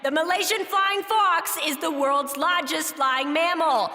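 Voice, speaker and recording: Woman as echoing, high-pitched theater announcer. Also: unhurried, sharp tone. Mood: formal, deadpan. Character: formal theater announcer, absurdly solemn. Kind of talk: scripted comedic credits announcement. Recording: echoey, long hall reverb.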